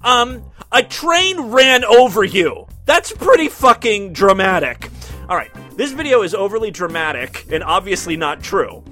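Sarcastic, lively-voiced male show host. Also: sits high, a little slow, faint music behind. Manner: sarcastic, profane, reads listener emails aloud. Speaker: podcast host. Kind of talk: casual profane commentary.

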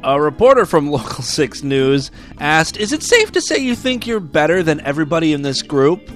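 A man hosting a comic podcast, mocking the news in a crude, joking way. His voice sits a little high for a man. Faint music plays under him. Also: sing-song, normal speed, a bit bright. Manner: crude, mocking, laughs at own jokes. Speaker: podcast host. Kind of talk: comedic news and voicemail show.

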